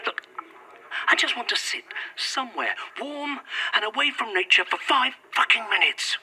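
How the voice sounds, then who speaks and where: A sardonic, irritable man on the run in a horror audio drama. His high voice swings dramatically in pitch, with a husky edge and sarcastic, clipped delivery. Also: sing-song, normal speed, sharp tone, full character voice, rough recording. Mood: irritated, sarcastic. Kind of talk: found-footage horror audio drama acting.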